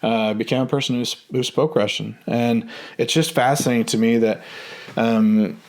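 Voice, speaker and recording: A man in his thirties telling a personal story in a relaxed, hesitant way, full of ums and restarts. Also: medium pitch, normal speed. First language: English. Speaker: vlogger.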